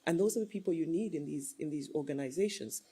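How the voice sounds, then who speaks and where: A woman's voice: low voice, fast talker, warm tone, husky. A woman speaking as a panelist in a discussion, earnest and persuasive, building her case with examples.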